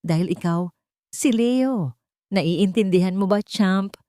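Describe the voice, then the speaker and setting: A woman voicing a loving wealthy father who speaks gently and reassuringly to his son. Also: mid-range voice, slow pace, no room sound, clean studio sound, natural voice. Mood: gentle. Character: loving rich father posing as a poor man.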